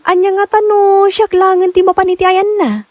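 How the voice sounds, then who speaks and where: A woman's voice: very high voice, flat delivery, normal speed, darkish tone. A woman with an Ilocano accent playing a concerned, outspoken confidante in a melodramatic drama, in an earnest, scolding manner. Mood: pleading. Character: concerned, outspoken woman advising her loved ones. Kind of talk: amateur melodramatic drama acting.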